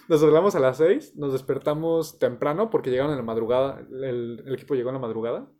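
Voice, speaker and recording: A man's voice: mid-range voice, normal speed, mellow. A young man, an architecture student telling stories in an easygoing, casual chat. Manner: easygoing storyteller, laughs often.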